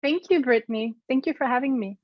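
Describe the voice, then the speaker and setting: A woman's voice: high voice, fast, no echo, highs cut off. A woman with a Swedish accent, a guest on an interview over a call, speaking thoughtfully with many ums and likes. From Sweden. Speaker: guest, app co-founder.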